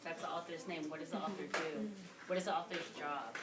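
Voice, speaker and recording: A woman's voice: low voice, a little fast. A woman teacher reading aloud to young children in an interactive way, questioning and instructing them as she goes. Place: classroom with children. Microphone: phone camera at a distance. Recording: distant, echoey, very noisy phone recording.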